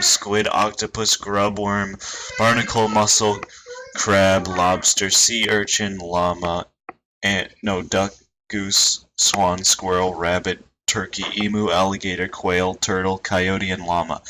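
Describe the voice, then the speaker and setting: A man streaming, chatting casually and reading posts aloud in a dry, monotone way. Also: deep voice, slow. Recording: noisy home stream recording.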